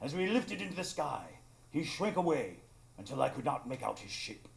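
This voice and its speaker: Man playing a creaky-voiced, earnest storytelling doctor. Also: fairly high pitch, big pitch swings, moderate pace, exaggerated voice. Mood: reflective, grand. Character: loyal male doctor narrating his adventures. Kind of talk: dramatic radio narration.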